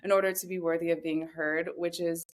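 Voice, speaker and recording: A woman in her thirties speaking as a podcast guest, telling her story in a reflective, earnest way with measured, deliberate phrasing. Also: on the low side, little pitch movement. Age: 30s. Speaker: podcast guest, somatic voice guide. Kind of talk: reflective personal interview talk.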